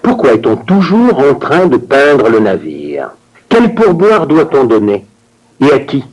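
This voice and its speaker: Guy acting out lesson dialogue, mid pitch, swings his voice around a lot. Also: measured pace, low-quality recording. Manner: expressive, theatrical line reading. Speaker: language course voice actor. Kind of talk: scripted language lesson dialogue.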